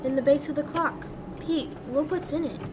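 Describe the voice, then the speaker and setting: Young woman doing a fretful old radio drama sweetheart, really high voice, noisy car sound behind. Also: normal speed, close to an everyday voice. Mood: curious. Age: young adult. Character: plucky, fretful sweetheart of a lawyer. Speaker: high school actor. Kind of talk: 1940s radio play acting. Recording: clear noise, vehicle rumble behind.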